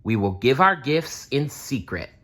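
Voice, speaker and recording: A man voicing a kind, wise old friend with an earnest, measured manner in a storybook. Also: medium pitch, big pitch swings, pushed and bright, pitched above the performer's own voice, full character voice. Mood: wise, encouraging.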